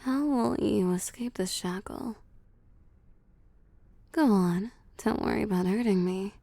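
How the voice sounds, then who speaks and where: A woman voicing a seductive, possessive vampire boss in an intimate audio roleplay, cooing and teasing softly and often slipping into whispers. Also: mid-range voice, unhurried, creaky, no echo. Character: seductive, possessive female vampire CEO pampering her prey. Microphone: close, dry microphone.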